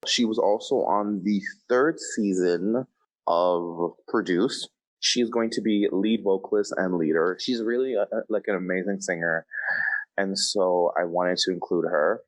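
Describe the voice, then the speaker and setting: Male podcast co-host, deep pitch, casual conversational delivery. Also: measured pace, rough recording.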